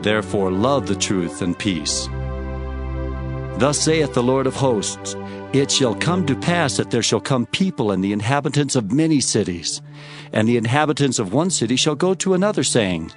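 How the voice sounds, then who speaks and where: A man's voice: mid-range voice, expressive, moderate pace. A man narrating scripture dramatically in a solemn, declamatory manner. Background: music.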